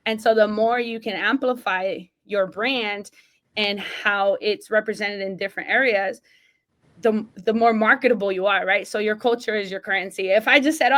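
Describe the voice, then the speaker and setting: A woman's voice: mid-range voice, a bit bright. A woman from Mexico speaks as a podcast guest, earnest and emphatic. She talks in a mid-range voice, with a loose conversational flow full of "like" and "right?". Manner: single mom. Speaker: associate athletic director, guest. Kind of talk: casual interview talk.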